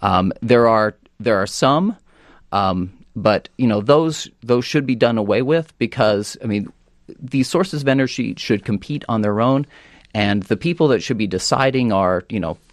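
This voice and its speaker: An American man speaking as a policy guest on a radio talk show, often halting and rephrasing as he goes. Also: medium pitch, no room sound, studio quality. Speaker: energy policy director, panel guest.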